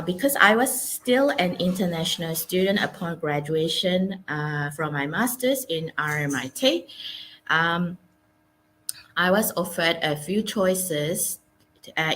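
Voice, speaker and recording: A woman from Malaysia in her forties speaks with a notably deep voice, telling her story with lots of hesitations and fillers. Faint background noise runs behind her. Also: fairly bright tone. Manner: hesitant, many ums and uhs. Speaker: guest. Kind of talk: casual interview storytelling. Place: Melbourne.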